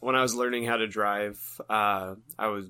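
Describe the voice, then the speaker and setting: Bright man trading crude banter. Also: fairly low pitch, steady tone, normal speed, smooth. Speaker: podcast co-host. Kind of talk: casual comedic chat.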